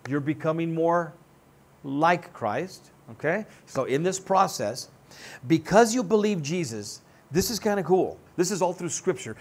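A lively joking pastor in his sixties. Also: a little high, slightly rough. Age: 60s. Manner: animated, joking, gestures broadly while seated. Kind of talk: sermon. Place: church stage with band gear.

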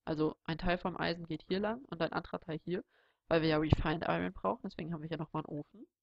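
Young man with a gravelly, slightly stuffy voice. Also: fairly high pitch, fast talker, no echo, lo-fi sound. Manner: relaxed, rambling, thinking aloud. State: has a cold. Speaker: Let's Play host. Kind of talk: casual gameplay tutorial commentary.

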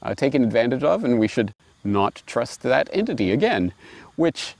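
A middle-aged man presenting and reading aloud in a musing, wry, expressive way, recorded outdoors on a lapel mic. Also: medium pitch, normal speed, no echo. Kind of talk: reflective commentary and storybook reading. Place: outdoors in a grassy park.

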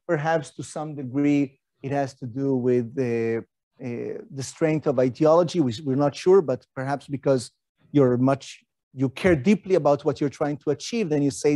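A man's voice: a little high, a little mellow, dry recording. A middle-aged man, giving an academic lecture in a conversational way with rhetorical questions and fillers. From Argentina.

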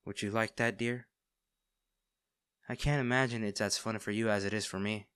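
A man acting as a smitten villain in an intimate audio roleplay, speaking directly to the listener with theatrical pauses. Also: lowish voice, fairly even intonation, a bit bright, smooth, dry recording, studio quality, close to an everyday voice. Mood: teasing, smug. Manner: teasing, theatrical, pausing, addressing the listener intimately. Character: male villain, teasing, smitten captor of a hero.

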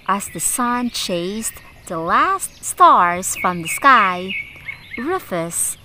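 A woman teacher reading a storybook aloud for young children in a deliberate, sing-song way, addressing them directly. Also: mid-range voice, sing-song, background noise. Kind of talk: slow, animated read-aloud for young children. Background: music.

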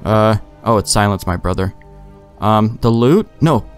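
A man's voice: fairly low pitch, lively intonation, a little slow, soft background music. A man giving casual, wry let's play commentary, joking and swearing.

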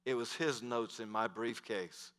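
A middle-aged man preaching from a big church stage in a bright, forceful voice. He swings from loud, emphatic declarations down to hushed asides. His voice carries a long reverb from the hall. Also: mid-range voice, a little slow. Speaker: pastor. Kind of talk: sermon.

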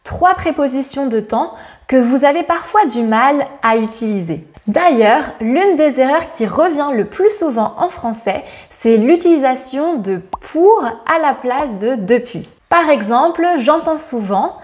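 A woman in her thirties teaches grammar straight to camera, her voice sitting a little high. She talks in a lively, smiling way and slows right down on each example sentence. It is a close lapel-mic recording in a bright indoor room. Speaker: French teacher. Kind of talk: scripted grammar lesson to camera.

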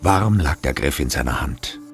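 A man narrating a horror thriller audio drama in a grave, gravelly, measured voice. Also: lively intonation. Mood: grave, suspenseful. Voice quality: very deep, gravelly.

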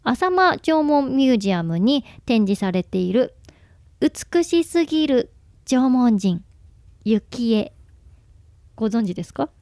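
A woman's voice: fairly high pitch, very animated, slow, long pauses, a little mellow, no echo, studio quality. A woman co-hosting a radio show, presenting a guest interview in speech.

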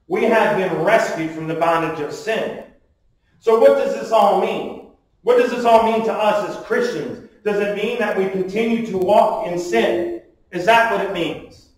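Heard from a distance in a very reverberant church room, a man delivers a sermon. His voice sits high for a male speaker. He preaches emphatically, leaning on rhetorical questions and calls for agreement. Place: small church sanctuary, at a wooden pulpit indoors. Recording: distant, very echoey room sound.

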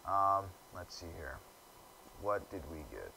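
A young man with a low, deep voice giving casual let's play commentary, grumbling and stumbling over quest text he reads aloud. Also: sing-song, slow pace, creaky, background noise. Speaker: gamer.

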